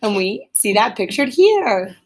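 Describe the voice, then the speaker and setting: A woman's voice: mid-range voice, sing-song, measured pace. A woman reading a children's story aloud, with casual explanations for young listeners along the way.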